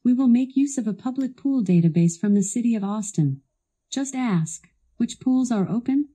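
A woman's voice assistant delivering scripted product demo narration in a polished, instructional, clearly enunciated way. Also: fairly high pitch, animated, normal speed, dark tone.

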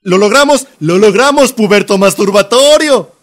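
A man with a Latin American accent playing an anxious, excitable young man in love in a comedy fan dub. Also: high-pitched, moderate pace. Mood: elated. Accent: Latin American Spanish.

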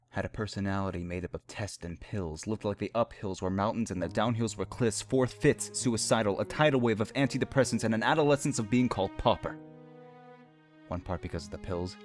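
A man giving a dramatic spoken-word poetry reading in an impassioned, theatrical and deliberate way. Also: low voice. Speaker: poetry performer. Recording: musical score under the voice. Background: music.

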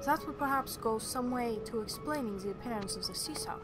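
A woman playing a shrewd, sharp-tongued shipwright with formal, slightly archaic phrasing in a fantasy drama. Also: a little high, a little fast, over music, natural voice. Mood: probing. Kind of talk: fan-made fantasy game drama acting.

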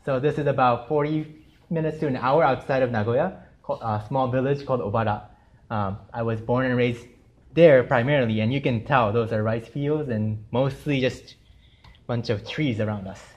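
A man's voice: medium pitch, moderate pace. Young Japanese-born man lecturing. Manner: friendly, chatty, many fillers. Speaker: artist giving a gallery lecture. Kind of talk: casual presentation to students. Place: university lecture room with projector screen.

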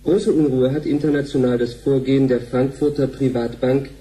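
A man playing a sober news reader, delivering a formal, measured bulletin in a sci-fi radio drama. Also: medium pitch, fairly even intonation, dark tone, lightly acted. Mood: sober, official. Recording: very dull sound, faint noise.

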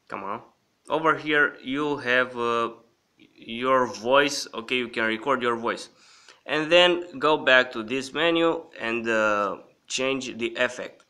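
Slow-talking man with a bright, sharp voice. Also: mid-range voice, clear, resonant tone. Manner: halting, repeatedly checking in with "okay". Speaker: host of an app review channel. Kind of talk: casual screen-recorded app walkthrough.

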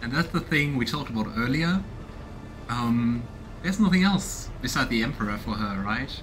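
A man's voice: medium pitch, animated, normal speed, a bit bright, slight background noise, rough recording. A man in his thirties giving casual, curious game commentary and reading game text aloud, musing as he goes. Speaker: let's play streamer. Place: indoors, small room at home.

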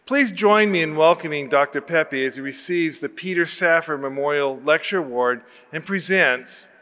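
A man in his sixties giving a formal, measured scripted introduction of a lecturer at a podium microphone. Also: on the high side, sharp tone. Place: large auditorium stage. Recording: long hall reverb from PA.

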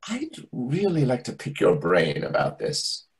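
A man's voice: medium pitch, unhurried, breathy edge, full character voice. A man acting in a comic readers theatre play as a defensive, indignant restaurant waiter, over a video call. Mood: eager, hesitant. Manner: indignant, animated. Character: defensive, excitable restaurant waiter, longing for respect. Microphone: computer microphone on video call.

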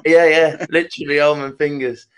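A man's voice: fairly high pitch, normal speed, clear, resonant tone. A young man hosting a casual video-call chat, telling stories and mimicking people. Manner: animated storyteller, mimics others in raised voices. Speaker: host. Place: home room with red curtain backdrop. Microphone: webcam on video call.

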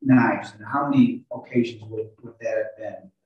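An older man cross-examining a witness as a defense lawyer, halting and repeating words as he builds questions. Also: on the low side, fairly even intonation, highs cut off. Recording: very echoey, distant video call audio.

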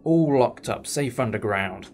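A man's voice: mid-range voice, lively intonation, measured pace, darkish tone, lightly acted. Man playing time-travelling hero, driven, earnest, dramatic, audio drama, music behind. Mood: defiant. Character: defiant, driven time-travelling alien hero in human form. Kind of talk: fan audio drama acting.

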